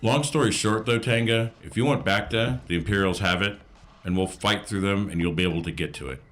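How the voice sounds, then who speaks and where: A man's voice: lowish voice, fairly quick, a bit bright. A man playing a tabletop role-playing game, speaking casually and correcting himself as he goes. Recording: echoey room.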